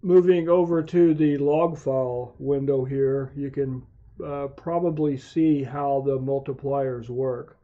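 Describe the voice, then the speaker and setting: A man narrating a software tutorial as a hobbyist, explaining things in a methodical, measured way. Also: mid-range voice, steady tone. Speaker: amateur radio hobbyist hosting video tutorials. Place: home radio shack. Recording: dull, muffled lo-fi voice track.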